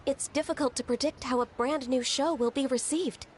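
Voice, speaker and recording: A woman playing a high-voiced, gentle young official. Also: steady tone, slight background noise, close to an everyday voice. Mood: hesitant, serious. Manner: polite, measured, careful. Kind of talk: video game voice acting.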